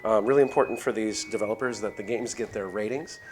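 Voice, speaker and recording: A man hosting a game livestream, talking casually to viewers in a halting way, thinking aloud as he goes. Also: lowish voice, a little hiss or hum. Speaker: game streamer, host. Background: game music.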